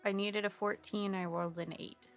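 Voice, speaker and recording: A woman chatting casually as a player on a tabletop game podcast, animated, slangy and jokey. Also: medium pitch, normal speed, soft background music. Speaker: player on an actual-play podcast.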